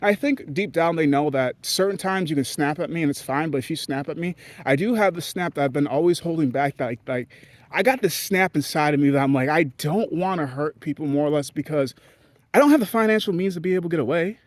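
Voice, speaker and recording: A man from Haiti talks straight to his audience in a candid, meandering rant full of swearing. His voice sits a little high, and it leaps up and drops low as he goes. The sound is dry and close, with no room around it. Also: fast talker, dry recording. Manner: blunt, profane and rambling, with sudden jumps in pitch. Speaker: vlogger. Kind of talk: candid ranting monologue.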